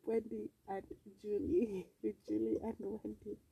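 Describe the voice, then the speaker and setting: Woman, Kenyan accent, dark relaxed tone, gravelly fry, casual podcast banter, faint background noise. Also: mid-range voice, slow, rough recording. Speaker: podcast co-host. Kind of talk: casual bantering chat, mixing Swahili and English.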